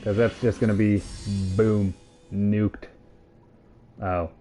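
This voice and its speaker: A man's voice: fairly low pitch, level tone, slow, music in the background. A man giving casual, off-the-cuff live commentary as he streams a game.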